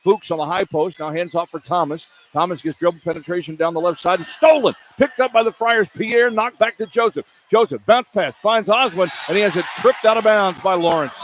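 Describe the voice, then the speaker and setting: High-voiced male basketball play-by-play announcer. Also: a little fast, few pauses. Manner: clipped running descriptions, emphatic on big plays. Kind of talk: live radio basketball play-by-play commentary. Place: college basketball arena. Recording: arena noise behind live broadcast. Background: arena ambience.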